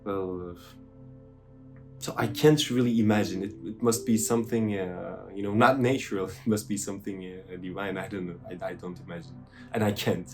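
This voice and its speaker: Man, Turkish accent, lowish voice, hesitant, thoughtful, faint guitar music behind. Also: steady tone, normal speed. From Turkey. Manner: thoughtful, hesitant, many fillers and restarts. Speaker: interviewee. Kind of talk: unscripted interview answers.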